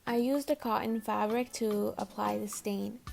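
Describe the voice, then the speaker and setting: A woman's voice: mid-range voice, steady tone, normal speed, vocal fry, darkish tone. A woman whose first language is Tamil gives a casual, chatty, self-deprecating voice-over for a home makeover video. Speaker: DIY home makeover vlogger. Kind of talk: scripted voice-over narration. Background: faint background music.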